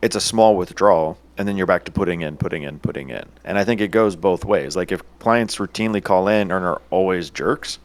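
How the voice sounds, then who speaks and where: A man's voice: low-pitched, fairly quick, few pauses. A man co-hosting an IT podcast, talking casually and leaning on filler words. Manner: chatty, uses filler words and "right?". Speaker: podcast co-host, IT business owner.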